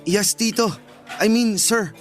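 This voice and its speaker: A gentle, devoted young son in a Filipino radio drama. His voice sits a little high for a man, courteous and earnest, with soft music behind. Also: takes it slowly. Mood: polite, sheepish.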